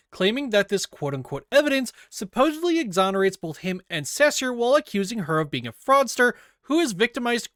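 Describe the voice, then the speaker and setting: A man reading a written document aloud in a deliberate, scripted narration style. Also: sits high, a bit bright, dry recording, studio quality. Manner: deliberate, spells out quote marks aloud. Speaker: reader of an investigation document.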